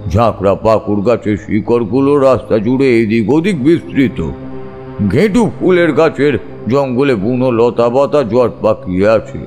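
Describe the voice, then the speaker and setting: A man narrating a scripted first-person ghost story in a suspenseful, measured storytelling manner. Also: medium pitch, animated, a little mellow. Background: background music.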